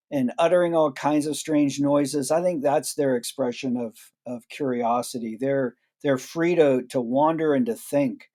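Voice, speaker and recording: A man speaks as a guest in an interview, explaining his ideas reflectively and often restarting phrases. His voice is a touch high for a man, with a warm, mellow tone. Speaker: guest expert. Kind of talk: interview answers.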